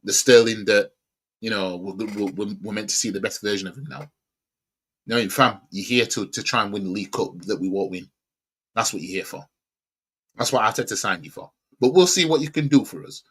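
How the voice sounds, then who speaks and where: A man's voice: lowish voice, fairly quick, a bit bright, slightly rough. A man with a Yorkshire accent giving a casual, opinionated football match preview on his stream, blunt and slangy. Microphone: streaming desk mic.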